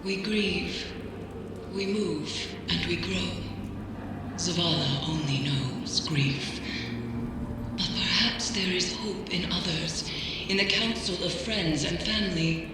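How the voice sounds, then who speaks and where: A grave, wise woman mystic in a video game, her voice awash in very heavy echo. She counsels slowly and solemnly, in a measured, oracular way. Noisy ambience surrounds her. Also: lowish voice. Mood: solemn, consoling. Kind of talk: scripted game dialogue. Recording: cavernous long reverb with noisy ambience.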